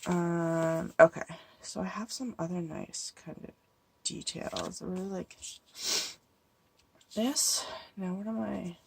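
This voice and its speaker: A woman with a stuffy cold musing to herself as she works, her low voice heavy with a gravelly fry. She rises and falls a lot as she weighs each choice. There's a clear hiss of noise under the recording. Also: fairly even intonation, takes it slowly, long pauses, darkish tone. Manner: thinking aloud, musing and hesitant. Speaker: card-making crafter. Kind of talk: casual crafting tutorial talk.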